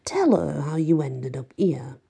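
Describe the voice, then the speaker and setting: A woman reading an audiobook, voicing a calm, protective man who gives orders and reassurance. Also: deep voice, unhurried, vocal fry, breathy edge, full character voice. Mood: stern. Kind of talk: audiobook character voice.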